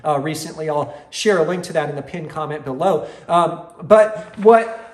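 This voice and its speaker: Guy in his 40s w a high voice explaining stuff to viewers, really leans on his words, echoey room. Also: moderate pace. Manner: emphatic, repeats words for stress. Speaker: host of an investing channel. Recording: roomy, echoing room sound.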